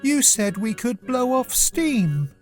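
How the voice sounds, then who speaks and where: Narrator putting on a high, curious little girl. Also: sing-song, takes it slowly, faint music behind. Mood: huffy, restless. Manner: inquisitive, earnest. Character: curious, chatty seven-year-old girl.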